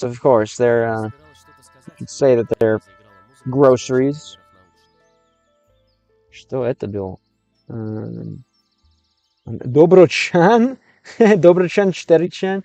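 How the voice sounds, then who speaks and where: A man's voice: on the high side, warm tone, smooth, no room sound. A man livestreaming, chatting with viewers and translating game text as he learns a language, often restarting his sentences. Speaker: streamer learning Russian. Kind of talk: casual livestream chat with viewers.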